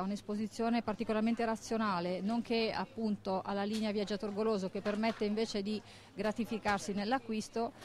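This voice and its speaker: A woman in her forties, a marketing director, presenting in a measured, businesslike way with frequent hesitations, speaking into a handheld mic inside a supermarket. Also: mid-range voice. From Italy. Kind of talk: corporate presentation.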